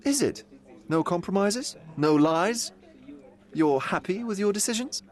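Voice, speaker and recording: An actor playing a theatrical, mocking gentleman who preaches cynical philosophy in a video game, teasing and grand. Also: animated, a little slow. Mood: probing. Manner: theatrical, sweeping pitch swings, teasing.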